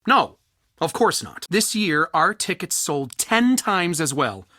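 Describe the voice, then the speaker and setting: A man playing a fussy, excitable, scholarly culture center organizer in a video game, with polite but theatrical, easily flustered delivery. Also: fairly high pitch, slow pace, dry recording, clean studio sound, heavily acted. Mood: indignant, wry. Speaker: game character, festival organizer. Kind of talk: video game character dialogue.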